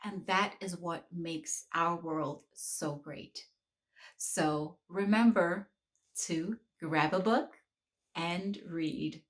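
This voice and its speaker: A woman, a children's book author, reading her story aloud to children in a slow, expressive, encouraging way. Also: on the low side.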